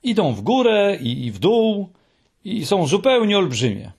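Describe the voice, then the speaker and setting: A man's voice: high-pitched, very animated, measured pace, gravelly, darkish tone, husky, voice pushed up, full character voice. A man voicing a nervous, earnest young male troll creature in an audiobook, speaking in an anxious, pleading way. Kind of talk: audiobook character voice.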